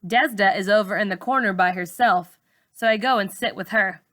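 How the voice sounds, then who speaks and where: A woman reading a novel aloud in expressive narration, like a teacher reading to students. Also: medium pitch, normal speed. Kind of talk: expressive audiobook-style narration.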